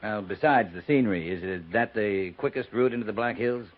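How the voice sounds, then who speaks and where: An American actor playing a terse, probing cavalry captain in a 1950s western radio drama, with a dry, clipped manner. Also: male voice, on the low side, fairly quick, lightly acted. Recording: noisy, tinny 1950s radio recording.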